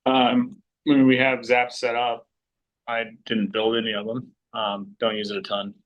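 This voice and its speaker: A man's voice: mid-range voice, completely flat delivery, a little slow, dull audio. A man taking part in a casual work conversation, asking questions and musing.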